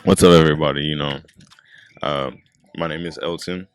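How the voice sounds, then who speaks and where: A young man in his twenties with a very low, deep voice talks in a relaxed, rambling way, full of "you know" and "um". He speaks into a handheld mic outdoors at a skate park. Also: normal speed, slight background noise. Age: 20s. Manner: laid-back, rambling with many fillers. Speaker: podcast guest. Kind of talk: casual chat.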